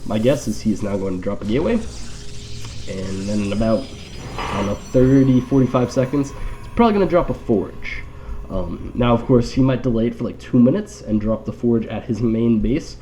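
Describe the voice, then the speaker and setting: A man's voice: medium pitch, normal speed, warm tone, a little hiss or hum. A man with a nasal, congested voice from allergies gives casual live game commentary with wry, rambling, joking asides. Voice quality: nasal, stuffed-up.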